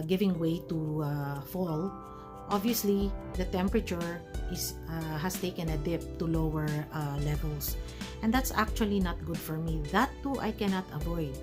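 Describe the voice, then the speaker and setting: A woman with a Filipino accent speaks in a fairly low voice, chatting to her viewers from home. She is recovering from asthma and talks in a hesitant way, full of small pauses and fillers. Faint music plays underneath. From Philippines. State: recovering from asthma, feeling cold. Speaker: vlogger. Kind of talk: casual talk to camera. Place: at home, wrapped in a blanket.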